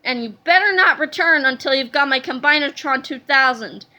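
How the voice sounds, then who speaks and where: A bossy know-it-all boy inventor from a storybook, voiced by a woman. The voice is very high and bright. He lectures pompously and smugly. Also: animated, normal speed, exaggerated voice.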